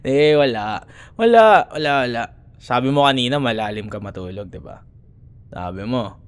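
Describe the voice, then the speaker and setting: A man's voice: mid-range voice, animated, moderate pace, smooth, natural voice. A man with a Filipino accent playing a grumbling, teasing young school rival who secretly cares, in a sarcastic, casual ASMR boyfriend roleplay. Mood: sarcastic, bickering. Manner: sarcastic, casual bickering with sighs and murmured asides.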